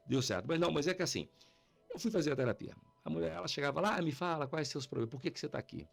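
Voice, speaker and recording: A man's voice: on the high side, expressive, racing, creaky, faint music behind. A man with a Brazilian accent telling stories in a casual podcast chat, slangy and informal, acting out dialogue as he goes. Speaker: podcast participant telling anecdotes. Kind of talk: casual animated storytelling chat.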